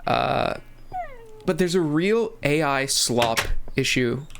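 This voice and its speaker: Young male host, stuffy from a cold, loose rambling game commentary, average pitch, light background hiss. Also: expressive, slow, vocal fry, fairly bright tone. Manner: rambling, restarts phrases, many fillers. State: congested from a cold. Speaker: gaming channel host. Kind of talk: casual commentary while playing.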